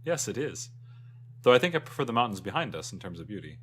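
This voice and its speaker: A man voicing a curious, polite lady passenger in a fantasy story, with light, quick phrasing. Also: fairly low pitch, very animated, a little hiss or hum. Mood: agreeable.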